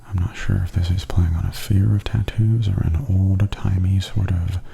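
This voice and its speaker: A man giving softly spoken ASMR tour commentary in a breathy, often near-whispered voice, gentle and observational. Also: low-pitched, normal speed. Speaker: vlogger giving a walking tour. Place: walking through a theme park and its indoor attractions. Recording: steady background noise and ambience.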